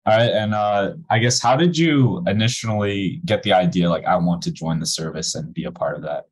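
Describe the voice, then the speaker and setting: A man's voice: sits low, a little fast, slightly rough. A man interviewing a veteran over a video call, asking questions casually with many fillers. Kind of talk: oral history interview.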